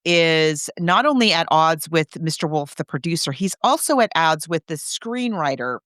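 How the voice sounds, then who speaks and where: Chatty, smooth-voiced woman podcaster, slightly low. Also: lively intonation, normal speed, fairly bright tone, no echo, studio quality. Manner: enthusiastic, chatty, emphatic. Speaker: podcast host. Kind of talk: casual film discussion.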